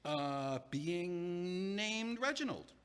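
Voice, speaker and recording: A man's voice: high voice, animated, extremely slow, a bit bright, clear, resonant tone, full character voice. An actor playing an anxious young man who rambles and stammers with many restarts in an audio drama. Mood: nervous. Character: anxious, rambling young man just left by his fiancée. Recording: long hall reverb.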